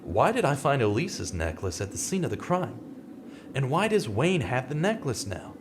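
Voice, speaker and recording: A man playing a skeptical, dogged, brooding investigator in a vintage-style radio mystery, with a questioning, deliberate, dramatic delivery. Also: medium pitch, sing-song, background noise, lightly acted. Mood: puzzled, searching. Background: music.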